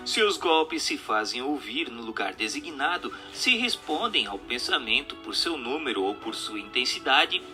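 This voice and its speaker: A Brazilian man reading a scripted audiobook narration in a deliberate, articulate way with emphatic phrasing. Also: mid-range voice.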